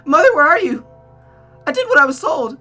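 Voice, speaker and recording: A woman's voice: high-pitched, big pitch swings, brisk, sharp tone, soft background music, voice pushed up, heavily acted. A woman voicing a spiteful, unhinged young woman killer from a visual novel, dramatic, pleading and tearful. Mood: desperate pleading.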